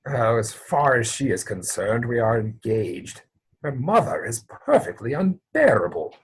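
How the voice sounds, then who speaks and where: A man playing an earnest, anxious young gentleman suitor in a theatrical comedy reading, speaking with formal, hesitant politeness. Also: medium pitch, very animated, measured pace, slight background noise. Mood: exasperated.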